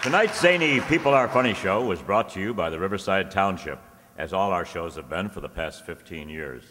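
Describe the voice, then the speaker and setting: Deep-voiced male announcer in noisy hall. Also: lively intonation, fairly quick, a bit bright, a faint crowd. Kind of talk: scripted closing credits announcement. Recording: echoey hall, audience and clear background noise.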